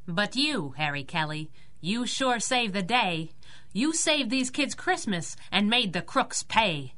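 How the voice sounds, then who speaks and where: An actress playing a confident, playful young masked crimefighter in a 1930s-style radio drama. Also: female voice, mid-range voice, big pitch swings, slow pace, bright, forceful tone, heavily acted. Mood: admiring.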